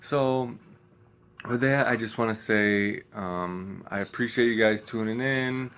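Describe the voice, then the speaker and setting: A young man vlogging in a casual, low-energy, nearly monotone way, with many ums and restarts. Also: slow, clean tone. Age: young adult. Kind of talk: casual vlog talk to camera.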